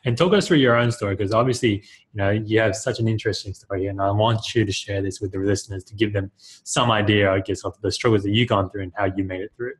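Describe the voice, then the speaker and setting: A man's voice: lowish voice, steady tone, fast talker, rough recording. A man hosting a podcast, introducing his guest in a casual, enthusiastic way with many fillers. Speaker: podcast host. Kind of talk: podcast interview.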